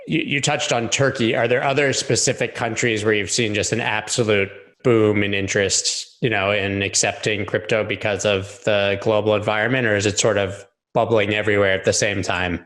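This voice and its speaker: Low-voiced man asking rambling questions. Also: flat delivery, normal speed, hardly any pauses, fairly bright tone. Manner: curious, rambling questions with repeats. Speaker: podcast host. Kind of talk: casual interview conversation.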